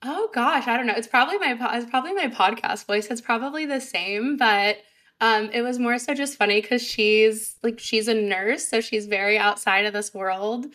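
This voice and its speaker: A woman guest on a podcast, high energy and chatty, with a fairly high voice and lots of fillers. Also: moderate pace, fairly bright tone. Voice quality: higher pitched voice. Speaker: sales representative guest. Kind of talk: casual podcast interview.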